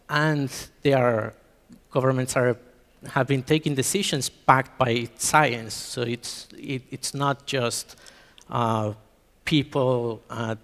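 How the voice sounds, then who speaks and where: A man's voice: medium pitch, a bit bright. A man from Mexico giving a conference talk on a stage microphone, hesitant with many ums and restarts. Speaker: conference speaker. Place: conference hall. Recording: reverberant hall.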